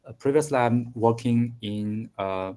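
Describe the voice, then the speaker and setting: A man in his thirties whose native language is Mandarin, speaking English slowly in a conversation lesson with many fillers and restarts. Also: medium pitch, mellow. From China. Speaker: engineering student.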